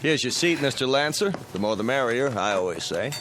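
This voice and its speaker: An actor in a radio drama playing a jovial, pushy ship passenger with a joking, insistent manner. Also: male voice, mid-range voice, animated, fairly quick, fairly bright tone, lightly acted.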